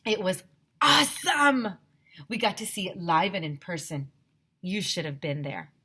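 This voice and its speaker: A woman teacher reading a children's story aloud, narrating in an expressive, emphatic way. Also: fairly low pitch, a little slow, husky.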